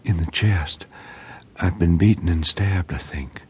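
A man voicing a badly hurt middle-aged woman who speaks in a faint, hushed whisper in a true-crime audiobook. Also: low voice, unhurried, breathy edge, exaggerated voice.

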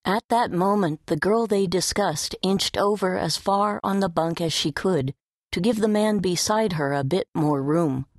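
A woman's voice: lowish voice, dry recording, studio quality. A woman reading scripted story narration for an audiobook.

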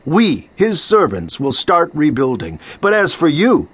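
A man's voice: fairly high pitch, huge pitch swings, measured pace, fairly bright tone, breathy edge, no echo, full character voice. A man playing Nehemiah, narrating in the first person with earnest, declamatory delivery in a dramatized Bible.

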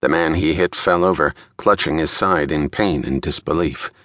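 A man narrates a thriller audiobook with drama. His voice sits very low yet has a tense, sharp edge, and the recording is very dry. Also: normal speed, no room sound.

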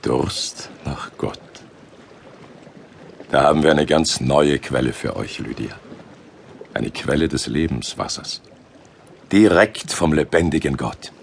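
An actor playing a fervent, devout missionary leader in a biblical audio drama, speaking earnestly and emphatically. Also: male voice, extremely low pitch, animated, takes it slowly, vocal fry, long pauses, a little hiss or hum, heavily acted. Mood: solemn, inviting.

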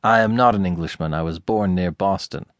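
A man reading a storybook, voicing a calm, modest young American man in a restrained, matter-of-fact way. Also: low-pitched, brisk, dry recording, clean studio sound, pitched below the performer's own voice, close to an everyday voice. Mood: mild, frank. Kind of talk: audiobook character acting.

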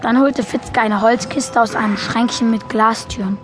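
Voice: medium pitch, steady tone, moderate pace, over music, natural voice. A young performer playing a naive boy who narrates a German film audio drama in a simple, matter-of-fact way. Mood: earnest.

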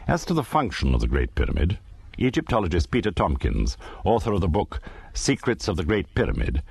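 Really deep husky guy, grave theatrical documentary narrator, voice goes up and down a lot. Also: big pitch swings, brisk, darkish tone, no room sound.

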